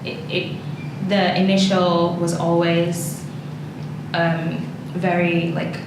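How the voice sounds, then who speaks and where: A woman speaks in a very reverberant room with clear background noise. Her voice is a little low, and she talks in a hesitant, thoughtful way, as a participant sharing in a casual group discussion. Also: level tone, slow. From England. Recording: very echoey, noisy room.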